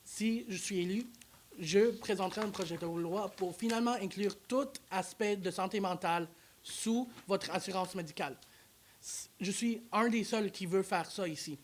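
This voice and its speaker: A man's voice: high voice, normal speed, slight background noise. A man speaking as a candidate in a studio debate, earnest and repeating rallying lines. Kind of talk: campaign pitch in a debate.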